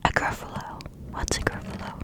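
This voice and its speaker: A woman's voice: moderate pace, close to an everyday voice. A woman whispering the part of a sly, hungry male fox in a storybook. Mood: coaxing. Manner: soft whisper.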